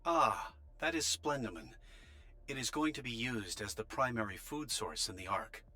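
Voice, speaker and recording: A man's voice: mid-range voice, animated, moderate pace, bright, forceful tone. A man voicing a formal, courteous official reporting to soldiers in an anime-style game. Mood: enthusiastic, reassuring.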